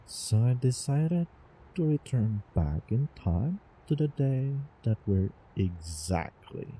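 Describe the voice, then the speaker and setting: A man playing a doting, obsessive young time traveler in love, in a theatrical romantic audio roleplay with halting, repeated words. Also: mid-range voice, very animated, mellow, a little hiss or hum, poor audio. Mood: adoring. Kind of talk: over-the-top romantic roleplay audio drama.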